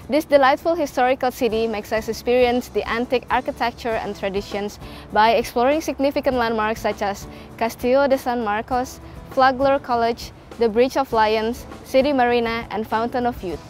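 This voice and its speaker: A young woman from Indonesia narrating a travel segment as a student reporter, careful and deliberate. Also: a little high, darkish tone. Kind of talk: scripted narration.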